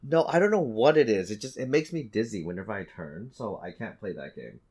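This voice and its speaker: A man co-hosting a podcast in casual chat, halting and repeating words for emphasis. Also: lowish voice, expressive, a little mellow, husky. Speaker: podcast co-host. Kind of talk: casual chat about video games.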